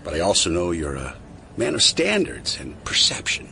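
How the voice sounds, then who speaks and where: Knowing insider, low swooping delivery. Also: male voice, huge pitch swings, gravelly, pushed and bright, full character voice. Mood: calm persuasion. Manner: measured, knowing, storytelling. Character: calm, persuasive male conspiracy insider. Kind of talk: dramatic audio drama acting. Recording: noisy street ambience behind voice.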